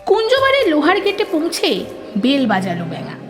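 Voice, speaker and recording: A woman narrator reading a scripted horror story in an expressive, suspenseful, dramatic storytelling manner. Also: high-pitched, a little fast, loud music behind the voice.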